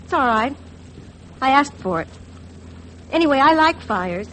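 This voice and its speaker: An American actress playing a cool, provocative young wife in a 1950s radio drama. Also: female voice, sits high, animated, measured pace, pushed and bright, exaggerated voice. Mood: cool, defiant. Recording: lightly noisy vintage 1950s radio recording.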